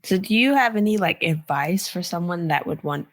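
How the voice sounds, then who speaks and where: Lower-voiced woman co-hosting a podcast. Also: expressive, moderate pace, dry recording. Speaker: podcast co-host. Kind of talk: casual interview chat.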